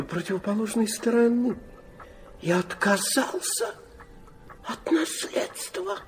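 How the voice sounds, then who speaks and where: An actor playing a fussy, genial old aristocrat in a Russian radio play, husky, halting and mumbling, dropping French endearments. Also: male voice, high-pitched, heavily acted. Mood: confiding wonder. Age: older.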